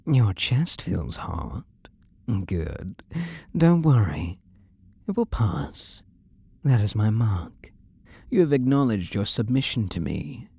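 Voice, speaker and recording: A man's voice: on the low side, sing-song, gravelly, warm tone, husky, dry recording, clean studio sound, heavily acted. A man acting a possessive, seductive demon lover in an intimate audio roleplay, commanding and sinister, sometimes sinking toward a whisper. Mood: commanding, tender. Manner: slow, commanding, sinister purr, often dropping to whispers.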